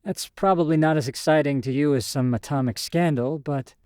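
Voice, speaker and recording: Male narrator as teasing female scientist, short story dialogue. Lifted pitch, mellow tone, playful delivery. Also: brisk, clean tone, no room sound, clean studio sound, lightly acted. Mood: friendly. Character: witty, teasing woman scientist, old flame of narrator.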